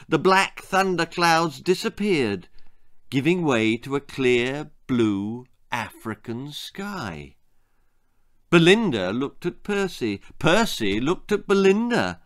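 A man in his sixties with an English accent, narrating a children's story in a theatrical, expressive manner. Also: on the high side. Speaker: author reading own children's story. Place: small book-lined room indoors.

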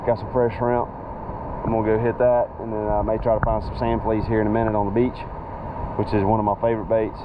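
Guy in his 30s fishing on the beach, action cam, waves crashing loud behind him. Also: lowish voice, little pitch movement, fast, muffled recording. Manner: folksy, says y'all, talks while fishing. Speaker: fishing vlogger. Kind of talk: casual outdoor vlog. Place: ocean beach under a pier, outdoors. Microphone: body-worn action camera. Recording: loud surf and wind noise.

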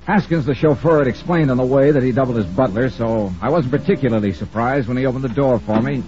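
An actor playing a brisk, wry insurance investigator in a 1950s radio detective drama, narrating crisply in the first person. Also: male voice, medium pitch, warm tone, close to an everyday voice. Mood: brisk narration. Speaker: narrator and lead of a detective serial. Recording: muffled old radio recording with music.

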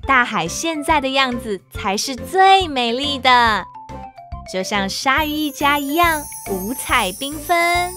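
A woman's voice: high-pitched, expressive, takes it slowly. A woman playing a friendly storyteller, narrating a children's cartoon with a Taiwanese Mandarin accent. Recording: children's music behind.

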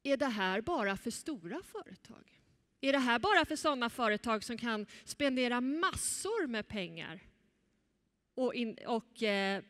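A woman's voice: on the high side, a bit bright. A young woman moderating a conference keynote on stage, presenting from a script with a headset mic in a large hall. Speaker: event moderator. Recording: echoey hall.

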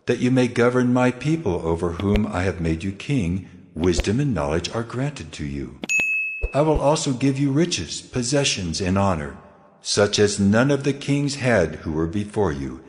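God in an audio bible drama, lowish voice, stately and kind, big long echo on it. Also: male voice. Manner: measured, solemn, stately. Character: God, solemn, majestic, benevolent male deity. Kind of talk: dramatized scripture reading. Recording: long cavernous reverb over the voice.

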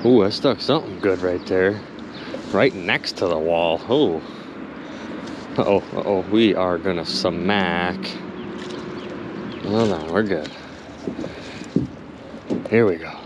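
A man narrating his magnet fishing trip outdoors by a river, chatty and excitable, constantly talking to his viewers. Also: low-pitched, slow pace, long pauses, noisy background. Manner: excitable, chatty, keeps addressing viewers as "you guys". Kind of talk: excited outdoor vlog commentary.

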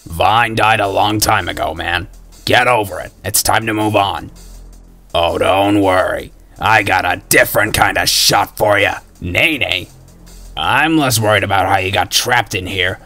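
A man's voice: on the low side, bright, forceful tone. A man voicing a snarky, foul-mouthed ginger schoolboy gunslinger in a video game, cocky and slangy yet caring. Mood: dry, snarky. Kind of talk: video game character voice acting.

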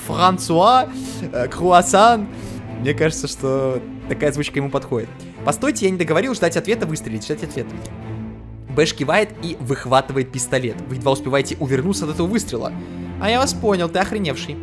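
Male let's play host, slangy casual commentary, lively pitch, game music underneath. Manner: slangy, reads game text aloud, reacts loosely.

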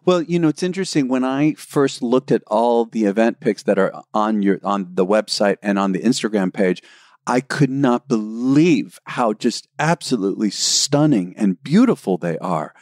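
A man's voice: fairly low pitch, animated, a little mellow, dry recording, studio quality. A man hosting a podcast interview, reacting with enthusiasm and curiosity to his guest. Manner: enthusiastic, quick to react with "wow". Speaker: podcast host. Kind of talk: interview conversation.

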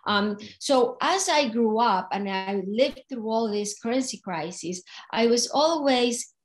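A woman in her forties, from Venezuela, answering interview questions slowly and thoughtfully on a video call from a room at home. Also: fairly high pitch, expressive. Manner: slow, thoughtful, with fillers. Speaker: guest, crypto fund founder. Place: home room with window, indoors.

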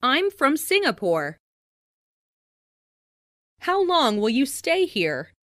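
Female language-lesson narrator, higher-pitched and unhurried, enunciating each practice phrase. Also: very animated, fairly bright tone, dry recording, studio quality. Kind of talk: scripted reading of English practice phrases.

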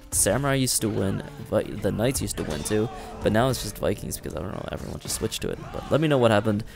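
A young man chatting casually over gameplay, rambling with fillers and restarts, his voice worn raspy. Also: fairly low pitch. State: raspy, strained voice. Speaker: gaming commentator. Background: faint background music.

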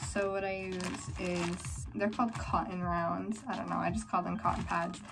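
A woman's voice: on the low side, little pitch movement, moderate pace, creaky, background noise. A teenage girl chatting casually to her phone camera in her bedroom, rambling with lots of "like" fillers. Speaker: vlogger. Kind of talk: casual day-in-the-life vlog. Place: small bedroom and home, indoors. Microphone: phone camera held close.

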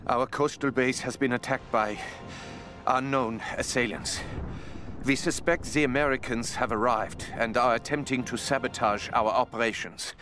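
Casting: male actor as wartime Japanese military scientist, mid-pitched, formal and controlled game report, prominent music. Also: steady tone, moderate pace, sharp tone, lightly acted. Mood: grave, urgent. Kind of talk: scripted official report for a video game.

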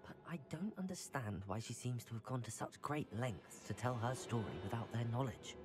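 Man voicing a calm curious young wizard student, measured game dialogue, mid pitch. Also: close to an everyday voice.

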